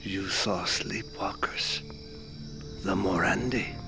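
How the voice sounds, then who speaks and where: An actor in an audio drama playing a solemn jungle guide and interpreter who speaks in terse, deliberate phrases. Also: male voice, deep voice, fairly even intonation, sharp tone, faint music behind, heavily acted. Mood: solemn, knowing.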